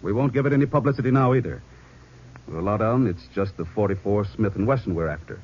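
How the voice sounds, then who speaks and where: An actor playing an authoritative American police chief in an old radio drama, briefing his detectives in a brisk, terse, commanding way. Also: on the low side, animated, a little mellow, breathy edge. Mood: brisk, decisive. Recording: muffled, faintly noisy old radio recording.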